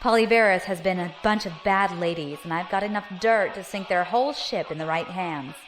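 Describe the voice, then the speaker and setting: A woman's voice: on the low side, brisk, soft background music, close to an everyday voice. A woman acting a brash, easygoing young space pirate in an audio drama, with slangy, casual speech. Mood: resolute, nervous. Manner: slangy, casual buddy talk.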